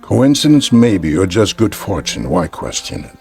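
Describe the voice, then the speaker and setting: An actor playing an elderly, courteous and cryptic peddler in a vintage radio drama, speaking in evasive riddles. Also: male voice, deep voice, sing-song, normal speed, a little mellow, soft background music. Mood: calm, knowing. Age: older. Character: mysterious elderly peddler, gentle, cryptic old man.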